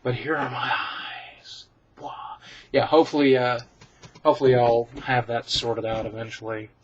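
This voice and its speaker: A man in a room at home talks straight to his webcam, rambling through viewer questions with candid, self-deprecating humor. His voice sits at an ordinary middle pitch and comes through slightly dull and lo-fi. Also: level tone, moderate pace. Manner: chatty, candid and self-deprecating, with many ums and restarts. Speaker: vlogger answering viewer questions. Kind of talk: casual rambling Q and A.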